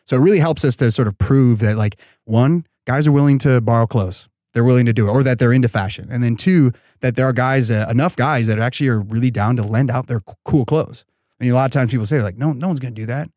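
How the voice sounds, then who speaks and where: A man's voice: mid-range voice, quick pace, darkish tone, dry recording, clean studio sound. A man speaking as a startup founder and podcast guest, in casual, enthusiastic, slangy talk peppered with "like" and "you know.".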